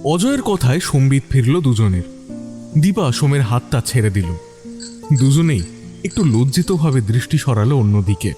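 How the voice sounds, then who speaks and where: A man's voice: mid-range voice, animated, normal speed, music in the background. A man reading a scripted dramatic story as its narrator.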